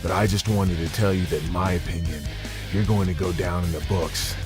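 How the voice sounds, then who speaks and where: A man's voice: low-pitched, fairly even intonation, fairly quick, over music, close to an everyday voice. A man voicing a blunt, conversational wrestling figure in a video game cutscene. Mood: earnest flattery.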